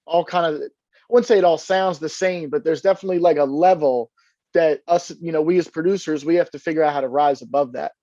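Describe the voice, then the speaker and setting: Man, interviewer, fellow producer, slightly high voice, dull lo-fi sound, dry, affirming. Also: fast talker, warm tone, dry recording. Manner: affirming, frequent "yeah" backchannels. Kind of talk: casual interview chat.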